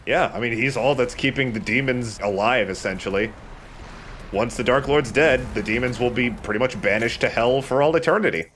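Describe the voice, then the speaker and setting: A man with a mid-pitched voice gives casual game commentary. He talks conversationally, and faint game noise sits behind his voice. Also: fairly quick, fairly bright tone. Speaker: let's play commentator. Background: video game sound.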